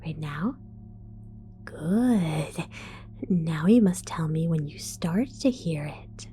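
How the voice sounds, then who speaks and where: A woman voicing a scatterbrained female mad scientist in an ASMR roleplay, whispering softly, giggling and stammering as she falsely reassures. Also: low-pitched, lively intonation, slow pace. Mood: sly whisper. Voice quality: soft whisper.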